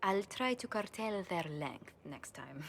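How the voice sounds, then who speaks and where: A woman's voice: mid-range voice, lively intonation, big-room sound, slight background noise. A woman playing a courteous, composed ambassador in a video game, speaking formally with mild exasperation. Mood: apologetic. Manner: formal, polite, measured phrasing. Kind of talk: video game character dialogue.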